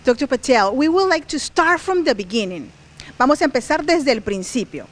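Chatty woman host, bright and upbeat. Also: high voice, very animated, a little fast. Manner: upbeat, chatty, repeats questions in two languages. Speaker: host and interviewer. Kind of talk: bilingual interview hosting. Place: outdoors on the porch of a large unfinished house. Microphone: handheld mic.

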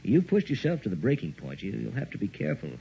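A man's voice: on the low side, wildly swooping pitch, quick pace, gravelly, exaggerated voice. A man playing a concerned, cautious but firm doctor in an early 1960s radio drama. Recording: muffled old radio recording with hiss.

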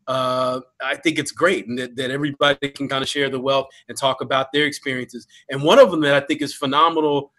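A man co-hosting a panel discussion over a video call from a room at home, talking in an animated way. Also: mid-range voice, fairly quick, hardly any pauses. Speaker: panel host, history professor.

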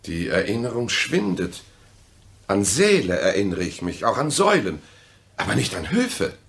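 An actor in a German radio play voicing an evasive, mistrustful husband with dry sarcasm and a clipped, deliberate delivery. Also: male voice, fairly low pitch, wildly swooping pitch, vocal fry, a bit bright, husky, exaggerated voice. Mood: dry, skeptical.

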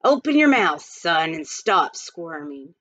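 A woman voicing a sneering, scarred male outlaw dentist who talks gruff and terse, on a dull lo-fi recording. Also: low voice, sing-song, slow pace, fairly bright tone, full character voice.

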